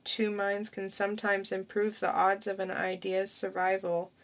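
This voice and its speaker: A woman reading a narrator's part in a measured, reflective way during an amateur dramatic reading. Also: mid-range voice, completely flat delivery, lightly acted. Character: calm grown daughter narrating her own birth.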